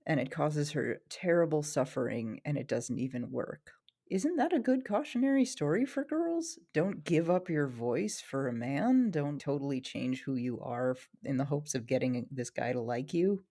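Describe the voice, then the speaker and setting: A woman's voice: deep voice, animated, moderate pace, mellow. A woman co-hosting a podcast in casual conversation, with a wry, joking way of talking. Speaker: podcast co-host. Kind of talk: casual discussion.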